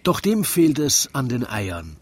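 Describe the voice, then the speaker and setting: A man's voice: mid-range voice, sing-song, a little slow. A man with an Austrian accent narrates a radio play in scripted rhyming verse, in a wry storytelling manner.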